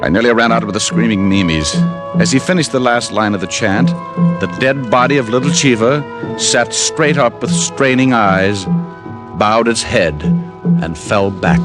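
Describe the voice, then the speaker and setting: Loud musical backing sits under a low-voiced hardboiled private eye played by a male actor. He narrates his case in old radio mystery style, wry and dry, tossing off wisecracks under pressure. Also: moderate pace, over loud music, close to an everyday voice. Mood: awed narration. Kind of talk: 1950s radio drama narration.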